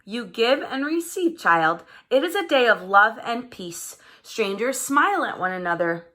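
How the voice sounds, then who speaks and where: A woman voicing a firm yet tender mother in a children's storybook, gently instructing her child. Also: a little high, big pitch swings, measured pace, full character voice. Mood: tender, wistful.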